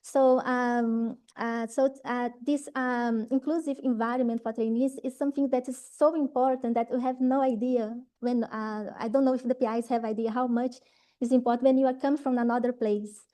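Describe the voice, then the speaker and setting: A woman's voice: fairly even intonation, normal speed, warm tone. A woman from Brazil with a Brazilian Portuguese accent, speaking English as a second language, gives an informal talk to trainees in a hesitant way with many fillers, restarts and a frequent "right?". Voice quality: low voice.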